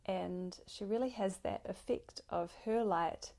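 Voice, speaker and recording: Gravelly, mellow-voiced woman podcast host. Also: medium pitch, a little slow. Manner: warm, gushing, grateful, with soft laughs and fillers. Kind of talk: solo podcast introduction.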